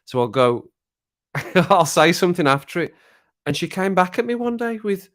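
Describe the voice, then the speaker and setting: A man's voice: high voice, sing-song, normal speed, a bit bright. A man hosting a casual live stream from home over a drink, greeting viewers in the chat and laughing at his own jokes. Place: at home, living alone.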